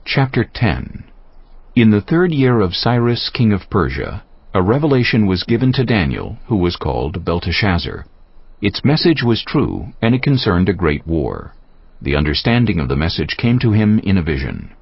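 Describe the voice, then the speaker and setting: A man's voice: lowish voice, sing-song, normal speed, breathy edge, dry recording. A man narrating a dramatized audio Bible from a script. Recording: slightly dull, dry recording.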